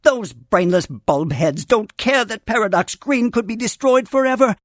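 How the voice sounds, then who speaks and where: A woman voicing a wise, earnest creature who tends a great tree in a children's computer game, with theatrical, formal storybook phrasing and gentle humor. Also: a little high, lively intonation, moderate pace, no room sound, studio quality. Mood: grave, earnest. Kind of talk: scripted game character narration.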